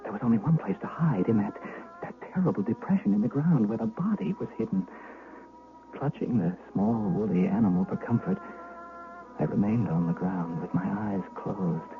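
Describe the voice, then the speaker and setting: A man's voice: on the low side, animated, moderate pace, music in the background. An actor playing a frightened, servile young shepherd boy in an old-time radio drama, anxious and haunted. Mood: haunted, dread. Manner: stammering, hesitant when questioned. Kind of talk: old-time radio drama acting, narrating his own tale. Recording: muffled vintage 1970s radio broadcast.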